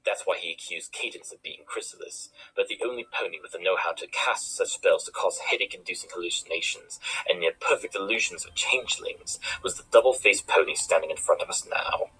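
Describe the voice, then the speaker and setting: An actor playing an eccentric, aloof pony detective who explains things in a dry, deductive way in a cartoon. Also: male voice, low-pitched, fairly quick, hardly any pauses, sharp tone, slightly rough, exaggerated voice, rough recording. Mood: explaining, serious. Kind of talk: scripted cartoon character acting. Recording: music behind the voice.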